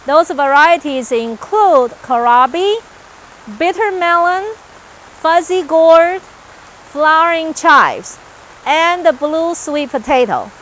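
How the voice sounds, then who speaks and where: A woman's voice: high-pitched, animated, slow pace, a bit bright, slight background noise, poor audio. A woman from Taiwan with a Taiwanese accent, an agricultural researcher explaining her farm work in an earnest, list-like way with some hesitations, speaking outdoors on a farm. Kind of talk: explanatory interview talk.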